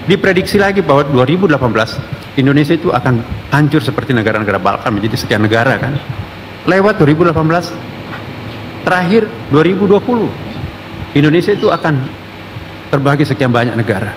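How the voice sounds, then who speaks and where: Fast-talking man over clear background noise. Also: fairly high pitch, expressive.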